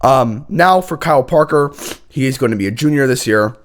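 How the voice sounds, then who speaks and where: A young man hosts a casual college football show while sick, his voice hoarse and worn thin. He rambles, restarts sentences and stops to clear his throat, keeping his pitch in an ordinary middle range. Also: animated, normal speed. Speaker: host. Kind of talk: casual sports commentary.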